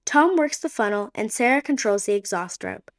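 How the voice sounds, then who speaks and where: A woman giving clear, descriptive scripted narration for a radio drama. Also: medium pitch, expressive, moderate pace.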